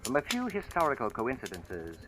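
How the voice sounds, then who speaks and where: A man playing a shrewd, mocking, sinister accuser in a vintage radio drama, speaking in a suave, deliberate, taunting way. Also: medium pitch, huge pitch swings. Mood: cool accusing. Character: shrewd, mocking male accuser, secretly a sinister returned spirit. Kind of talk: 1940s-50s radio drama acting. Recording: noisy, crackly vintage radio recording.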